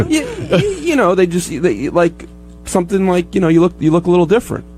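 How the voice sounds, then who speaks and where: A man chatting casually on a talk show, explaining himself in a halting, rambling way. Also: high voice, lively intonation, darkish tone, faint music behind. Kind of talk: casual chat.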